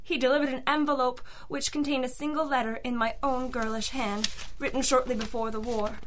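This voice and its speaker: A woman's voice: sits high, steady tone, a little fast, noisy background, lightly acted. A woman playing an anxious, genteel rich wife, hesitant and formal, in an old-time radio detective drama.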